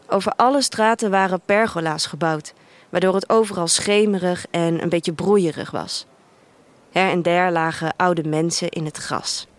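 An actress playing an introspective young woman who narrates her life in a dystopian future, in measured, deliberate first-person literary storytelling. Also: fairly low pitch, lightly acted. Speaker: narrator of a radio drama. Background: music.

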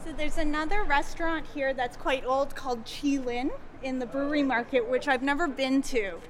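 A woman's voice: sits high, fairly even intonation, moderate pace, crowd noise. A woman guiding a small group on a food tour, chatting and explaining as she goes, with fillers here and there. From Canada. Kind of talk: casual guided tour talk. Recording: loud street noise behind.

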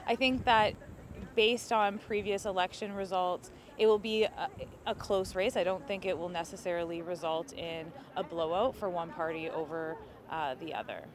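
A woman giving thoughtful, analytical interview answers, pausing now and then with hesitations. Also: lowish voice.

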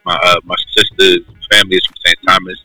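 A man's voice: low-pitched, little pitch movement, fast talker, sharp tone, no echo, soft background music, rough recording. A man from New York, a guest in a casual chat, talking in a laid-back, slangy way with plenty of fillers.

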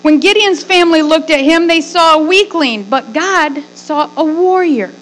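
A woman's voice: high-pitched, normal speed, a bit bright. A middle-aged woman preaching conversationally as a pastor, with emphatic, folksy delivery, rhetorical questions and repeated phrases. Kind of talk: conversational sermon with acted-out asides.

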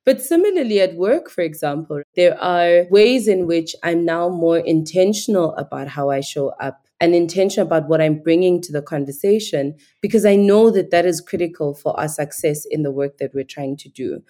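Low, mellow-voiced woman from Nigeria. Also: moderate pace, hardly any pauses. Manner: thoughtful, articulate, earnest. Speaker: podcast guest. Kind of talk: reflective conversational interview.

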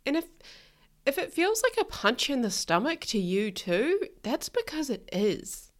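A woman's voice: high-pitched, very animated, a little slow. Nasal-sounding New Zealand woman hosting. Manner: frank, outspoken, swears. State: nasal from illness. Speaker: podcast host, life coach. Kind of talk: casual solo podcast monologue.

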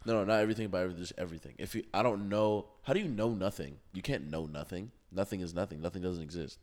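A young man with a very low voice co-hosts a loose, casual chat. He rambles, swears freely and stalls on fillers while thinking. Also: very fast, a little mellow. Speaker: podcast co-host.

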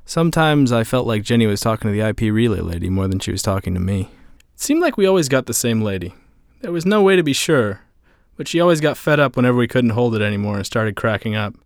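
A man's voice: medium pitch, animated, fast talker, no room sound, studio quality, close to an everyday voice. A man playing a lonely, heartbroken boyfriend who tells his story in a conversational, confiding way in an audio drama. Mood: wistful. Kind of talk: first-person narration in an audio drama. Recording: music under the voice.

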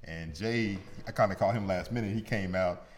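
A man hosting a casual interview, telling stories in an animated way and acting out what people said. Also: low-pitched, brisk. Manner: animated storyteller, acts out quotes. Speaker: podcast host. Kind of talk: casual conversation.

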